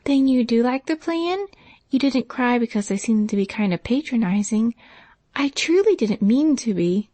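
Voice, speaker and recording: An eager young girl, as a woman reader puts her on, rattling along in a high, lifted voice. She sounds mellow and close, in a dry storybook reading. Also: animated, no room sound, natural voice. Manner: talks very fast and eagerly.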